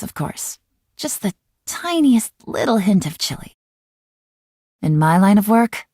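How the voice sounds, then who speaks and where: An actress playing a mysterious, calculating young female intelligence agent in a video game, with a measured, teasing and self-assured delivery. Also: medium pitch, a little mellow, no room sound, clean studio sound. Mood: playful, then serious, assured. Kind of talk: scripted video game character voice lines.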